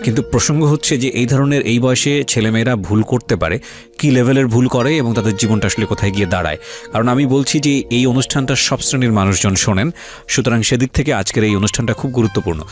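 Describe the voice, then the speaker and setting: A man's voice: mid-range voice, quick pace, soft background music. A man with a Bangladeshi accent hosting a radio interview, probing and moralizing, mixing in some English words. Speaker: radio host interviewing a guest. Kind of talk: radio interview with commentary to listeners. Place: radio studio.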